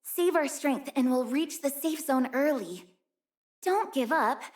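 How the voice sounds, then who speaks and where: A young female mountain rescuer from a video game. Her voice is high and girlish, and she comes across as gentle and sincere. She speaks politely, with real care for the people around her. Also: lively intonation, measured pace. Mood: encouraging. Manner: earnest, caring, polite. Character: earnest, gentle young female snow-rescue team member. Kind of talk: video game character voice lines.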